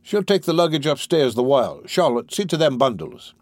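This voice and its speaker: Man putting on a high-pitched, cocky young lout. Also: big pitch swings, fairly quick, a little mellow, no room sound. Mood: cocky, grasping. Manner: self-important, colloquial, questioning. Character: cocky, greedy, cowardly young male lout.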